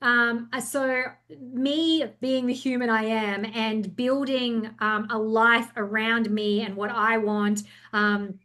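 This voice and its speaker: A young adult woman speaking as a podcast guest in casual chat, passionate and energetic, swearing freely as she talks. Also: a little high, slow, few pauses.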